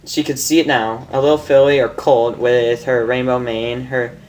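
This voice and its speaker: A woman's voice: rumbling low, flat delivery, moderate pace, a little mellow, slight background noise, rough recording. A woman narrating a story in a casual, amateur way as host, informal and prone to stumbling over lines. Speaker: fan fiction reader, channel host.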